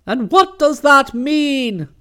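A man voicing a booming, imperious older aunt who blusters and scolds. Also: lively intonation, takes it slowly, pushed and bright, heavily acted. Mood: warning.

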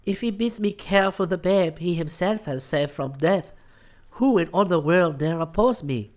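Man doing a bold young forest nymph, high and swooping. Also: expressive, moderate pace. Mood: bold, defiant. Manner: earnest, pleading, boldly insistent. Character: bold, tender young female forest nymph, earnestly pleading.

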